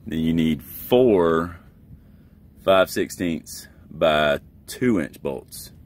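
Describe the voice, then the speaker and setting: A man's voice: rumbling low, expressive, drawn-out words. A man in his thirties, a truck driver, talking in a laid-back, folksy way in a casual how-to vlog. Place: inside a truck cab.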